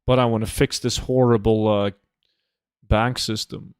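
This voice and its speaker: A man's voice: fairly low pitch, fairly even intonation, a little slow, darkish tone, clean tone, no echo. Blunt man streaming, dry close sound. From Norway. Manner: blunt, swears casually. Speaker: game livestreamer. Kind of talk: casual livestream chat while gaming.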